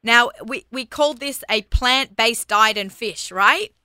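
Woman interviewer, bright tense voice, smooth and crisp, dry close sound, upbeat and chatty. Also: a little high, no echo. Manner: upbeat, quick to praise, chatty. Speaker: radio show host. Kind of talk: interview on air.

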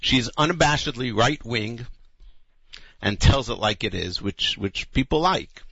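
Radio host, male, mid-pitched, casual and rambling, dry muffled studio sound. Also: expressive, measured pace, creaky, a bit bright, breathy edge, no echo. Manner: chatty, loose, with fillers and small laughs.

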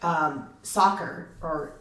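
A woman's voice: low-pitched, slow pace, fairly bright tone, distant mic. Upbeat forties woman interviewer, off-mic, roomy. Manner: upbeat, chatty. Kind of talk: casual radio interview.

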